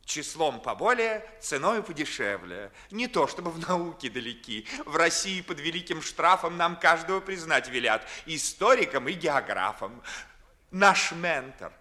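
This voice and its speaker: An actor playing a witty, ardent young gentleman in a declamatory, mocking manner, in 1950s Russian theatrical radio verse. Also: on the high side, very animated, a little slow, heavily acted. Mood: mocking, animated. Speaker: stage actor. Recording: early 1950s studio radio recording.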